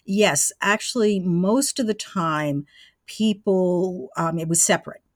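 A woman in her sixties talks as an interview guest, reminiscing in a conversational way. Her voice sits a little low for a woman and the sound is very dry, with no room around it. She explains how things worked one step at a time. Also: slow, no echo. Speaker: interview guest, former college radio engineer.

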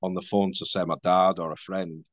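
A man in his forties with a Mancunian accent that has worn softer over the years. His voice sits fairly low and sounds dry and close. He chats casually, pokes fun at himself and swears now and then, over a dull, lo-fi video-call line. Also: level tone, a little fast, no room sound. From Manchester, England. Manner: self-deprecating, chatty, swears casually. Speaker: podcast guest.

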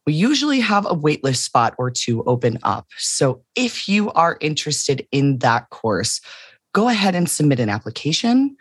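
Woman podcast co-host, a little hoarse and breathy, casual and upbeat, dry mic. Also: deep voice, no room sound. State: slightly rough voice. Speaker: podcast co-host and ADHD coach.

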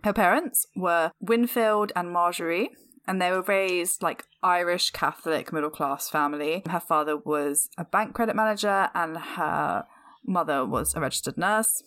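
A woman in her thirties with a slightly croaky voice, co-hosting a podcast in casual, chatty conversation and laughing often. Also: lowish voice, moderate pace, fairly bright tone. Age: 30s. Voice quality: croaky, slightly sore throat. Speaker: podcast co-host. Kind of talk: casual chat.

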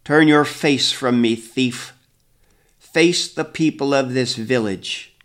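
Stern old village elder, put on by a male reader, booming, slow, severe. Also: fairly bright tone. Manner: booming, commanding, slow proclamations.

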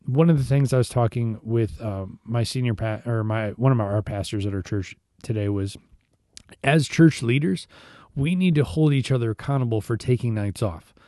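Hoarse man giving a devotional talk. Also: medium pitch, normal speed, mellow, no room sound, studio quality. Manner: conversational, repeats and restarts words. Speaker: devotional podcast host, church musician.

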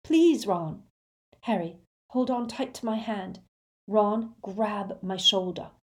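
A woman voicing an urgent, bossy teenage witch in a breathy, hushed voice for an audiobook. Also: mid-range voice, sing-song, very slow, pitched above the performer's own voice.

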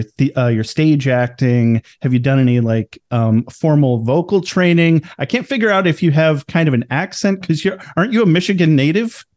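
A man hosting a podcast interview, asking hesitant questions full of ums and quick laughs. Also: mid-range voice, a little mellow. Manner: hesitant, filler-heavy, laughs easily. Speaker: podcast host. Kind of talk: casual interview chat.

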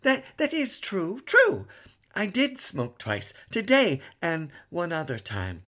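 A man voicing a delicate, timid little boy who speaks softly and stammers, in an audiobook reading. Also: high-pitched, huge pitch swings, slow pace, voice pushed up, exaggerated voice. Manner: soft, stammering.

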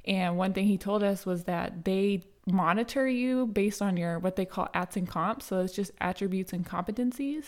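A young adult woman hosting a casual solo podcast, her throat a little raspy today, telling a story in a chatty way with plenty of fillers and restarts. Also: medium pitch, moderate pace, few pauses. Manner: chatty, many fillers and restarts, light laughs. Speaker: podcast host.

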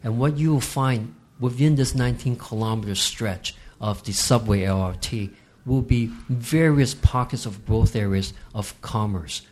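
A man from Hong Kong, a real estate guest on a podcast, explaining market trends in a measured, emphatic way. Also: medium pitch, darkish tone. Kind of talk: podcast interview.

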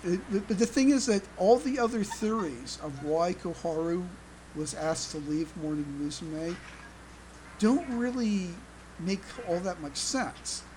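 A podcast host chatting casually in a halting way, often stammering and repeating words. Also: medium pitch, a little mellow, rough recording. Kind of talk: casual chat. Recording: clear background noise.

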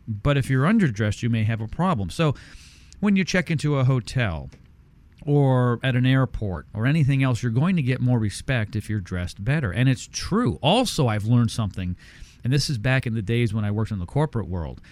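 A man's voice: medium pitch, lively intonation, moderate pace, a little mellow. A man hosting a radio show, talking in an upbeat, salesy, emphatic way in a studio. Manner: salesy, emphatic, repeats phone numbers. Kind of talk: upbeat radio talk and read ads.

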